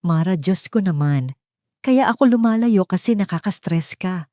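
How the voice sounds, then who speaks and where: A woman puts on a cold, dismissive husband in a drama story. The delivery is curt, sarcastic and irritated, in a mellow, dry-recorded voice. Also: fairly low pitch, lively intonation, normal speed, no room sound, lightly acted. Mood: blaming.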